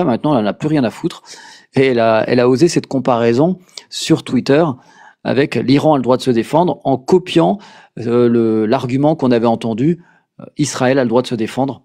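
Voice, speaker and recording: A man's voice: mid-range voice, fairly quick, warm tone. A man hosting a casual political chat, talking hesitantly with many fillers and restarts. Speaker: podcast host.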